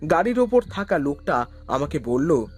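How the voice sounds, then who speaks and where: Male narrator, first-person ghost tale; a bit high-pitched, music faint underneath. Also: very animated, normal speed. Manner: stammers nervously when frightened. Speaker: narrator and lead character.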